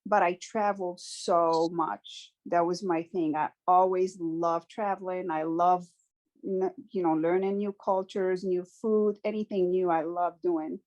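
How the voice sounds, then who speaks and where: A woman's voice: fairly low pitch, steady tone, a little mellow. Earnest woman telling her story. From Morocco. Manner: earnest and reflective, halting with frequent restarts. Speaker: guest speaker sharing her story. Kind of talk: conversational personal testimony.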